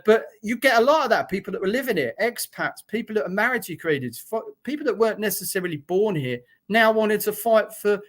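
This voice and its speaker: Blunt man, slightly high voice. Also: bright, forceful tone. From Britain. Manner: blunt, frank talk with many fillers and restarts. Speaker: guest, former soldier. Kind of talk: conversational interview account.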